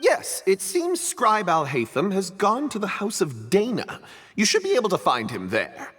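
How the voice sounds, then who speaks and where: A man's voice: sits high, wildly swooping pitch, normal speed, a bit bright, breathy edge, faint music behind, heavily acted. An actor playing a chatty, polite male townsman who loves gossip, in a video game. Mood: chatty, gossipy.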